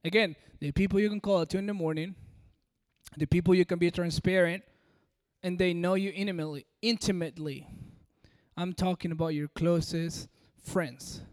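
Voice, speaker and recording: A man from Peru with an American-sounding accent preaches in a large hall. His voice sits high and rings with a long reverb. He talks directly to the crowd and coaxes them along. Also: steady tone, normal speed, darkish tone. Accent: American-sounding English. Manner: direct and coaxing, addresses the audience. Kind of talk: sermon.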